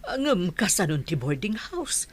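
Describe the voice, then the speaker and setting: A doubtful, anxious wife, acted by a woman in an audio drama. Her husky voice moves up and down a lot as she questions. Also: lowish voice, sing-song, unhurried, full character voice. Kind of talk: Ilocano audio drama acting.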